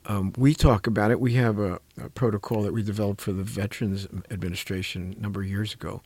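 An earnest older man with a fairly low voice talks reflectively in a dry, close recording. He often halts to search for a word, then leans firmly on the key ones. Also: steady tone, darkish tone, dry recording. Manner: halting, searching for words, earnest. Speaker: clinician and programme host. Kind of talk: reflective conversational talk on caregiving.

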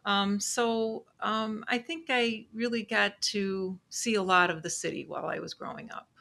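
A woman from Chicago, speaking as a podcast guest and co-host, soft-spoken and hesitant, with many ums. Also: medium pitch, fairly even intonation, normal speed, gravelly. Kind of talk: casual interview chat.

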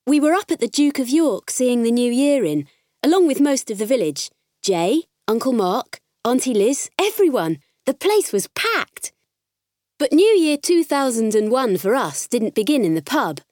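A woman's voice: a little high, moderate pace, no echo. A candid farm girl, close dry sound. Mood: fond, cheerful. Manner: expressive, conversational storytelling. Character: farm girl narrating her diary, candid, warm, spirited. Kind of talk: audiobook first-person diary narration.

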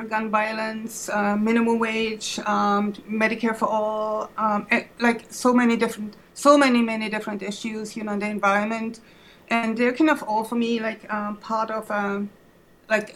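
Woman, 50s, German accent, activist guest; mid-pitched, halting, fillers throughout. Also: poor audio. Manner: hesitant, many fillers and restarts. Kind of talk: casual spoken perspective.

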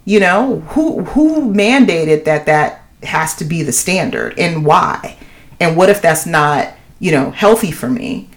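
A woman with a deep voice co-hosting a podcast in casual chat, talking emphatically and filling her speech with "you know.". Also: slow pace. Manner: emphatic, animated, many "you know" fillers. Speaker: podcast co-host.

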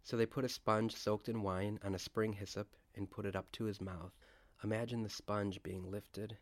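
A man guides listeners through a prayerful meditation in a low voice. His delivery is even and deliberate, with reverent pauses. The sound is close and dry. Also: dry recording.

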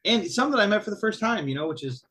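A man's voice: high-pitched, expressive, fast talker, pushed and bright, husky. A man co-hosting a podcast in easygoing casual chat, often saying "you know". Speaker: podcast co-host.

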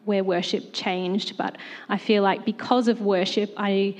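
A woman's voice: medium pitch, fairly even intonation, measured pace, darkish tone. A woman, a church worship leader, answering a panel question in an earnest, reflective way. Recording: long hall reverb.

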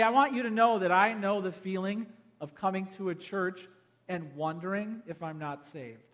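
High-voiced pastor making an urgent altar call. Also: male voice. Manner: urgent, pleading, swells loud then drops to a hush. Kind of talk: evangelistic altar call sermon.

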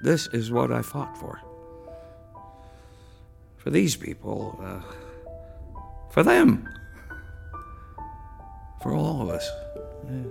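An actor playing an emotional war veteran in his eighties, halting and gravelly, in an audio drama monologue. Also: mid-range voice, big pitch swings, a little mellow, full character voice. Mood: overcome, proud. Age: 80s. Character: 87-year-old war veteran, tearfully remembering his homecoming. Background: guitar music.

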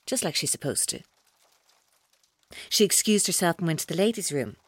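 An actress playing a controlling, taunting female captor in an audio drama, speaking in a deliberate, coaxing way. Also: lowish voice, lively intonation, slightly rough, dry recording. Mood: accusing, controlled. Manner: deliberate, coaxing, coldly mocking. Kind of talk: audio drama acting, monologue-like.

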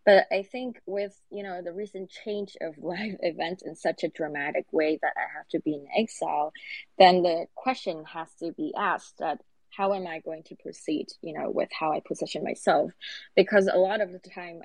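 A woman in her twenties from Hong Kong, speaking thoughtfully on a video-call panel with frequent "uh" pauses. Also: on the low side, no room sound, poor audio. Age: 20s. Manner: measured, frequent "uh" fillers. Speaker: panelist, activist.

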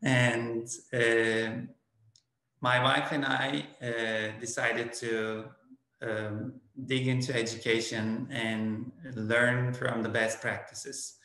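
A man with a Turkish accent, a principal answering interview questions slowly, pausing on many fillers. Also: mid-range voice, level tone, bright, forceful tone, roomy sound, rough recording. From Turkey. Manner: slow, halting, many "uh" fillers. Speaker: school principal.

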